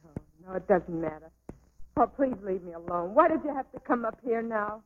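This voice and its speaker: An actress playing a tender but firm young stage dancer in an old film melodrama. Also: female voice, mid-range voice, lively intonation, moderate pace, phone-quality sound, natural voice. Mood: distressed.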